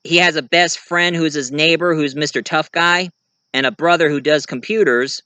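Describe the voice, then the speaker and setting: Bright, clear-voiced man, slightly high, casually presenting his story characters, halting and correcting himself, close dry sound. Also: dry recording. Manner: rambling, halting mid-sentence with self-corrections. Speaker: amateur writer and online video creator. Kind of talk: casual show-and-tell of own story characters.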